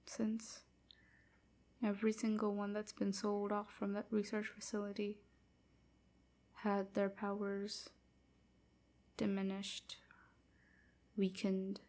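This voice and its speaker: Woman acting a breathy, slow, sorrowful vampire slave. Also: medium pitch. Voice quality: breathy, husky, often near whisper. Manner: slow, hesitant, softly confiding. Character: melancholy enslaved female vampire, gentle, wounded, grateful. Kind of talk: intimate roleplay audio drama monologue.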